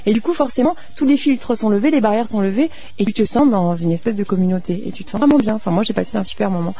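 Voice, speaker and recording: Woman from France, radio host, fast delivery, gravelly fry, casual storytelling, chatty and direct. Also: medium pitch, dark tone. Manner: informal, chatty, addresses listeners directly. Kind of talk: casual spoken storytelling and interviewing.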